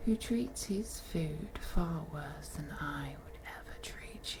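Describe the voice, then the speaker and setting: A woman playing a wealthy, possessive female vampire who toys with her prey in a soft, teasing, whispery voice for an intimate audio roleplay. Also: low voice, animated, noisy background, exaggerated voice. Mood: possessive, menacing. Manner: slow, teasing, purring, often slipping into whispers.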